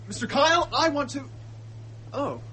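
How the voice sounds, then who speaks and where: A man's voice: very high-pitched, animated, normal speed, bright, forceful tone, slight background noise, heavily acted. An actor playing a brash, impulsive young man in a radio drama, informal and direct in his talk. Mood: urgent.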